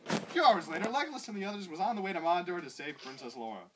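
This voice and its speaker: A woman's voice: fairly low pitch, very animated, racing, noisy background. A woman giving an over-dramatic comic reading of bad fan fiction, with exaggerated emphasis that often verges on laughter.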